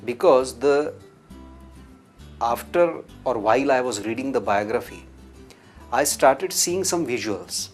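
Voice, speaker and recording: A man, a filmmaker, slowly and thoughtfully recalling how he came to make his first feature film. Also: mid-range voice, expressive, faint music behind. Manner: slow, reflective. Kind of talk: interview testimony.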